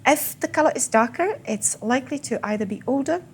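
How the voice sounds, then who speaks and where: A woman from the Czech Republic with a Czech accent and a slight Scottish tinge, guiding a tour of a whisky collection and explaining it patiently, with small pauses. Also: high-pitched, expressive, slight background noise. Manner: fluent, with filler pauses and slow stressed words. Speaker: whisky guide. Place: whisky collection room, indoors.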